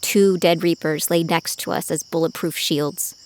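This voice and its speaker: A woman's voice: fairly low pitch, fairly even intonation, moderate pace, a little hiss or hum, natural voice. A woman playing a weary, determined young survivor, narrating her ordeal in a grave, introspective audio drama style. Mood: anxious, resolute. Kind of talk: first-person scripted audio drama narration. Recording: loud music and sound effects behind.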